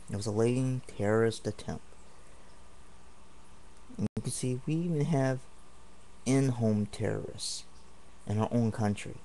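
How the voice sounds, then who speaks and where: A man's voice: lowish voice, gravelly, mellow, slight background noise, rough recording. A man ranting indignantly and at length in a home video, repeating himself as he rambles. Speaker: vlogger. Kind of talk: angry home video rant. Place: home apartment.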